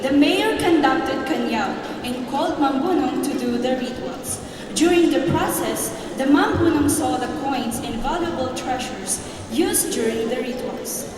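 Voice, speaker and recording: A woman narrating a legend in a measured, storytelling way at a cultural performance. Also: high-pitched. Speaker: event narrator. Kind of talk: scripted narration over a PA. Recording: distant, reverberant hall with crowd. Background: crowd.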